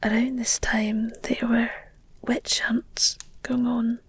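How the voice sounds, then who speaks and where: A woman playing an affectionate, candid werewolf girlfriend in an intimate ASMR audio roleplay, talking in a soft, hesitant, breathy whisper with gently teasing, wry asides. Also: on the high side, flat delivery, slow, heavily acted. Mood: hushed, explanatory.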